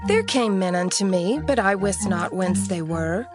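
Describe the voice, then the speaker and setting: An actress playing a wily ancient woman in dramatized scripture audio, talking in an animated, insistent way. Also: mid-range voice, a little slow, music in the background, lightly acted. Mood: urgent, evasive. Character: Rahab, wily woman innkeeper deflecting pursuers.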